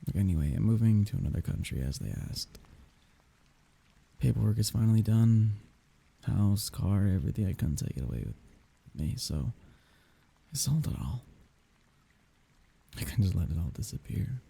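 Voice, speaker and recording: Husky, dark-voiced grieving man acted. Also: animated, exaggerated voice. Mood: wry, subdued. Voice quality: husky, breathy, gravelly low voice. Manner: wry, tender, halting, half-murmured. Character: grieving man bidding farewell to dead lover. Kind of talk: intimate ASMR roleplay audio drama.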